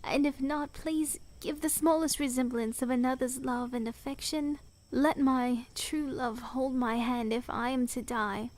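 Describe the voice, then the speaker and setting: An actress playing a young princess, earnest and proud, with formal archaic diction in a theatrical audio drama. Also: female voice, high voice, fairly even intonation, a little slow. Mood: pleading, sorrowful. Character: young princess, pleading yet proud and imperious.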